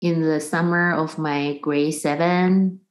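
A woman's voice: sits low, fairly even intonation, slow. A middle-aged woman from Taiwan, a guest on an interview, who explains things thoughtfully with examples and small restarts. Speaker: guest, percussion professor. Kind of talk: conversational interview.

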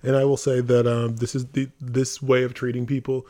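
A man's voice: medium pitch, completely flat delivery, moderate pace, mellow. A man hosting a casual podcast for a theater company, talking earnestly and driving his points home.

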